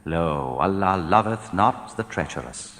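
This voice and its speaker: A man reading translated scripture in a solemn, measured, declamatory style, letting his phrases fall at the end. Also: low voice, expressive, fairly bright tone. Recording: long hall reverb.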